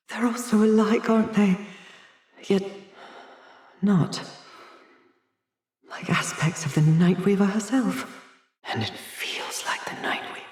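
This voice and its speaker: A woman's voice: darkish tone, roomy sound, recorded from a distance. An actress playing an unhinged, haughty woman doctor locked away in an asylum, theatrical and erudite in a video game. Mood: troubled, musing. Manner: theatrical, erudite, haughty, swinging from whispers to shrill outbursts. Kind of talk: dramatic video game character acting.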